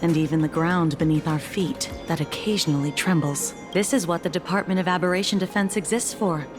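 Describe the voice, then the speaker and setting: A woman's voice: lowish voice, lightly acted. A woman playing a composed, genial government officer in a video game, speaking politely and explaining things. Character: composed, genial female officer of an anomaly department.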